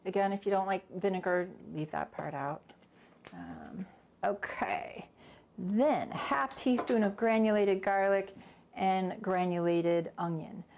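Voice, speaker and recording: A woman's voice: medium pitch, slow pace, creaky, a little mellow. A middle-aged woman giving a casual cooking class in her home kitchen, chatting and thinking aloud as she works, recorded by a camera a few steps away. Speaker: cooking instructor.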